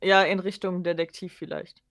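A woman's voice: on the low side, steady tone, moderate pace, fairly bright tone. Hesitant giggly woman. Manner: hesitant, many fillers, giggly. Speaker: podcast co-host. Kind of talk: casual chat.

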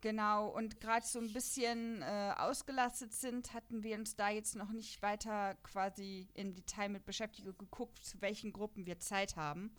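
A woman's voice: mid-range voice, steady tone, normal speed, few pauses. A woman with a German accent chatting casually as a podcast host, in a jokey, self-deprecating manner. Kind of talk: casual podcast chat about an audio drama series.

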